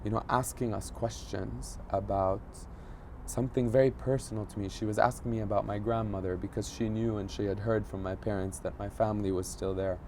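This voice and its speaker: A man in his thirties who grew up in Egypt, a journalist telling a personal story in an interview, pausing often to search for words. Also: lowish voice, level tone, mellow, slight background noise. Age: 30s. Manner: thoughtful, searching for words, frequent fillers. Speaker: journalist guest.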